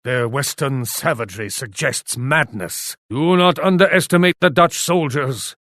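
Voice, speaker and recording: An actor playing a proud, ruthless Japanese warlord in a video game, speaking in an imperious, formal manner. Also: male voice, mid-range voice, a little slow, fairly bright tone, dry recording, studio quality, natural voice. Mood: grave, wary. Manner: imperious, formal, speaks of himself in third person. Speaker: video game faction leader. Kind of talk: scripted video game voice lines.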